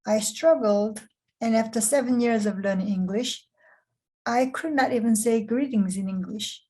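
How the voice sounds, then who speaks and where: Woman from korea hosting a program intro, mellow warm tone, calm even delivery. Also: fairly high pitch, moderate pace. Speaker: program director. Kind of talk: scripted presentation.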